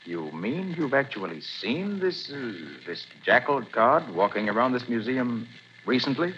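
An actor playing a calm, confident private detective in a 1940s radio drama, brisk and assured. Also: male voice, mid-range voice. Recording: muffled old radio broadcast, faint hiss.

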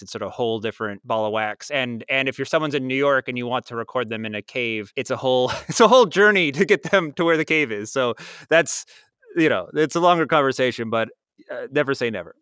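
Clear-voiced American man chatting casually. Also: mid-range voice, brisk, no echo, studio quality. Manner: animated rambling storyteller, acts out quotes. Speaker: audio drama producer, guest. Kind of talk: casual interview talk, anecdotal.

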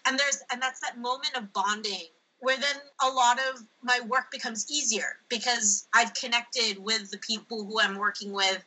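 A woman's voice: a little high, normal speed, hardly any pauses, rough recording. A woman speaking as a researcher guest in an interview, talking animatedly, laughing now and then and acting out what people say. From United States. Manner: animated, laughs, acts out quoted speech. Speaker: linguistic anthropologist guest. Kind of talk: conversational interview answers.

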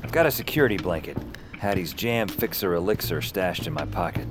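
A man's voice: low-pitched, animated, a little fast. An actor playing an anxious night-shift dispatcher, narrating his misadventures in dry, clipped sentences. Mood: wry, frazzled. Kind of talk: first-person noir-comic audio drama narration. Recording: music under the voice.

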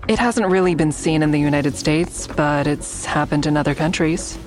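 Low-voiced, guarded woman played by an actress. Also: steady tone, moderate pace, darkish tone, soft background music, natural voice. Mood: serious, explaining. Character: guarded, earnest woman negotiating with police, also narrating. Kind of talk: naturalistic audio drama acting and narration.

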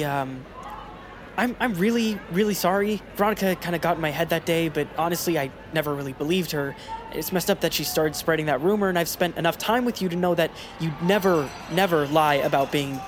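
An actor playing an earnest teenage boy activist in an audio drama, speaking sincerely with halting hesitation. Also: a little high, close to an everyday voice. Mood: apologetic, earnest. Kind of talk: naturalistic audio drama acting.

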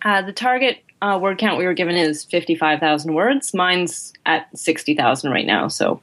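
Female guest author, lower pitch, wry and easygoing. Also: lively intonation, moderate pace, fairly bright tone. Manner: laughs easily, wry. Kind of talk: casual interview chat.

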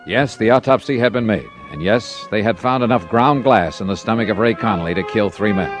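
An American actor playing a brisk, wry insurance investigator who narrates his own case in a 1950s radio drama. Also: fairly low pitch, natural voice. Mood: brisk, matter-of-fact. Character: adult male insurance investigator, hard-boiled, brisk and wry. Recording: vintage radio broadcast with music.

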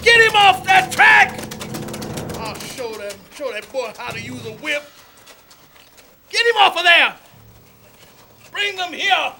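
A blustering male horse owner for radio drama, with a very high, bright voice. He is bossy, hot-tempered and emphatic, and faint music plays behind him. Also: very animated, slow, pauses often, full character voice. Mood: furious shouting. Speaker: actor. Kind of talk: old-time radio drama acting.

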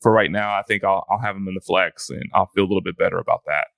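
Lower-voiced guy cohosting a fantasy football pod, casual, keeps restarting sentences, dry close mic. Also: steady tone, fast talker, creaky, fairly bright tone, no room sound. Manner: restarts phrases often, loose and conversational. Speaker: fantasy football podcast co-host. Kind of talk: casual sports podcast chat.

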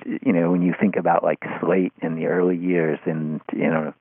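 Male interview guest, deep voice, halting and thoughtful delivery with repeated words, dry phone-quality audio. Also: little pitch movement, breathy edge, dry recording. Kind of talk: conversational media commentary. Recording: tinny phone-like line.

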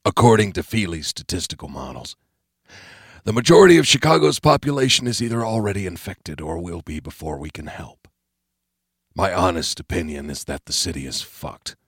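A man voicing a blunt male presidential adviser, speaking low and confiding. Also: lively intonation, moderate pace, vocal fry, husky, dry recording, studio quality, full character voice.

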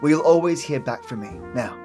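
A man's voice: medium pitch, lively intonation, normal speed, music in the background. A man hosting a podcast, reading a scripted introduction with a chatty, familiar address to listeners. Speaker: podcast host.

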